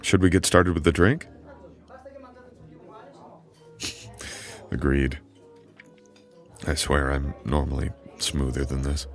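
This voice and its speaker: Very deep, gravelly immortal boyfriend acted by a man. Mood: flustered, charming.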